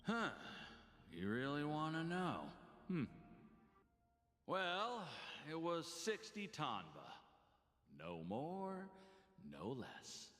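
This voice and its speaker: A cruel male gambler from a game story, bright and forceful. He taunts theatrically, with big swoops in pitch and endings that can sink away. His contempt is smug and unhurried. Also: huge pitch swings, exaggerated voice. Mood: smug, amused. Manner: taunting, theatrical, drawn-out delivery with whispered endings. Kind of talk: dramatic video game voice acting. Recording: long hall reverb, music behind. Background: music.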